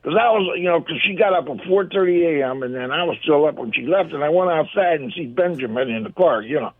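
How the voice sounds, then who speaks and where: An older man with a gruff voice calling in to a radio show, chatting in a rambling, folksy way. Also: on the high side, expressive, quick pace. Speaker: caller. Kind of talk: casual phone-in chat. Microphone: telephone. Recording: tinny phone line.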